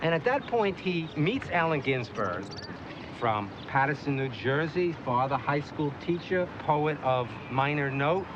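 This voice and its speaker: A man giving an informal explanatory talk as a guide, speaking emphatically in halting phrases. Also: a little high, lively intonation, background noise. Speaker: tour guide or lecturer. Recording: muffled location sound under music. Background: music.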